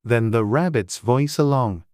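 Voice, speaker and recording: A man narrating a classic children's story as an audiobook reader, measured and gentle. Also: medium pitch, dry recording, clean studio sound.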